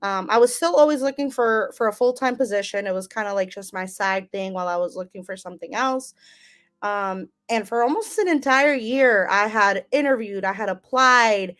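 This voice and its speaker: A young woman from the United States telling her life story as an interview guest, relaxed and rambling with lots of ums. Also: mid-range voice, moderate pace. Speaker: guest, business owner.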